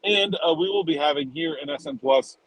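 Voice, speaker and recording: A man with a slightly higher-than-average voice talks casually as a sports podcast co-host. The sound is dry, with no room echo. His delivery is conversational and given to rambling asides. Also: brisk, slightly rough.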